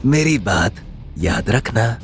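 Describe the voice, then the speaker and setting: A man's voice: medium pitch, expressive, slow pace, a little hiss or hum. A man playing an arrogant, menacing young suitor in an audio drama, taunting in a sing-song way.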